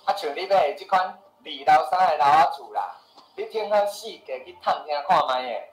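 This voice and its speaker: An actor with a Taiwanese accent playing a skeptical, boastful house seller who grumbles and argues in a radio drama. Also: male voice, fairly high pitch, animated, normal speed, natural voice. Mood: defensive, boastful. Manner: scoffing, exclamatory, argumentative. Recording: roomy echo with music behind.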